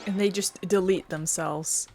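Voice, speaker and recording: A woman's voice: on the low side, fairly even intonation, measured pace, a little mellow, faint music behind. A woman streamer giving casual gameplay commentary in a rambling, playful manner. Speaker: streamer playing a game.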